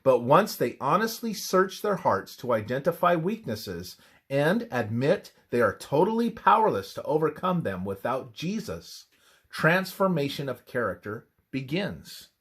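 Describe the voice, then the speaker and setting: A man reads a scripted religious text aloud as devotional narration, in a measured, emphatic scripture-reading manner. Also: medium pitch, very animated, husky.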